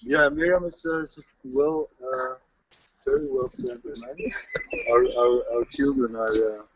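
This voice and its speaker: A man from the Netherlands with a Dutch accent, answering interview questions in a halting way, often repeating words while searching for them. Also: medium pitch, wildly swooping pitch, creaky, no echo. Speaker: local resident. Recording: dull lo-fi audio.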